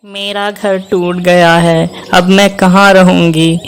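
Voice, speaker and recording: A man's voice: high-pitched, little pitch movement, takes it slowly. A man voicing a distressed, grateful female bird in a children's cartoon, with a plaintive manner. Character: distressed, grateful female bird whose home was destroyed.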